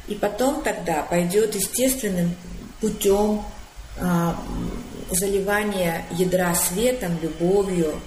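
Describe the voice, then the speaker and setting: Woman coach, live-stream explainer, slow and deliberate with fillers, echoey room. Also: lowish voice, darkish tone. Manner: thinking aloud with fillers and restarts, emphatic lists. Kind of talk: live stream talk, explaining psychology concepts. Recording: roomy echo with faint room noise.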